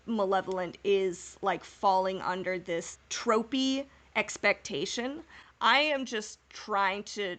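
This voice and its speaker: A woman's voice: mid-range voice, a bit bright. A woman co-hosting a podcast, chatting casually as a fan and offering her guesses in a tentative, hedging way. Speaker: podcast co-host. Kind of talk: casual fan discussion and speculation.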